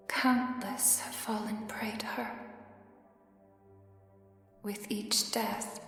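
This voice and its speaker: Casting: actress as grieving mystical mentor for ASMR fantasy roleplay, breathy half-whisper, extremely slow measured delivery, drenched in echo. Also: female voice, on the high side, exaggerated voice. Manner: solemn, slow, measured, archaic formal wording. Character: grieving mystical elder mentor, solemn storyteller of dark magic. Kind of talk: scripted ASMR roleplay monologue. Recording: very echoey hall reverb, music underneath. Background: music.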